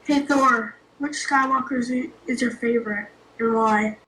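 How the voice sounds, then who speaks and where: A woman's voice: fairly high pitch, steady tone, normal speed, a bit bright, roomy sound, a little hiss or hum, poor audio. A woman co-hosting an interview, asking questions in a playful, casual way.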